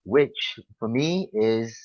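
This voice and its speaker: A young man from Manchester with a Mancunian accent, chatting in a relaxed way over a video call as an English tutor. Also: medium pitch, extremely slow, no room sound. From Manchester, England. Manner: relaxed, chatty, many fillers and restarts. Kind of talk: casual video-call conversation. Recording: dull dry lo-fi call audio.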